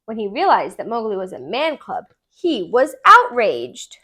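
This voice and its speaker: A woman narrating a children's storybook aloud in an expressive, deliberate storytelling manner. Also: a little high, slightly rough. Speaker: tutor reading aloud.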